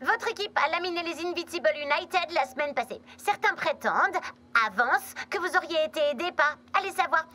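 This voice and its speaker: A woman voicing a brisk, polished female television news reporter in a cartoon dub, with a presenterly delivery. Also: very high voice, expressive, sharp tone, exaggerated voice. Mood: wry, probing. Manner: presenterly, crisp.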